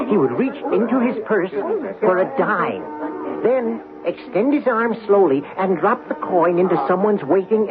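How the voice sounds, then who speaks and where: High-pitched theatrical storytelling coin. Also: male voice. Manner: theatrical, deliberate storytelling with dramatic pauses. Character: storytelling talking dime, lively and theatrical. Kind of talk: dramatic radio narration. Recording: lo-fi radio broadcast with music.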